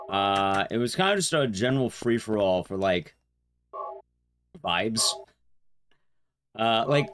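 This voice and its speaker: A man streaming a video game with casual, rambling commentary. His pitch moves around in a lively way at an ordinary height. Soft music sits behind his informal chatter. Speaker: speedrun streamer.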